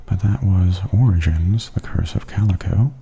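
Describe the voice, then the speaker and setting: A man giving softly spoken, gently wry ASMR commentary as a tour guide, in a hushed, breathy half-whisper. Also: low voice, moderate pace, a little hiss or hum. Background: theme park haunted attraction ambience.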